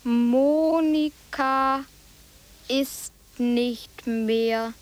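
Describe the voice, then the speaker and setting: High-voiced child, extremely slow words. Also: female voice. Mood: deliberate. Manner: drawn-out, word by word. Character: child slowly spelling out chalked street words.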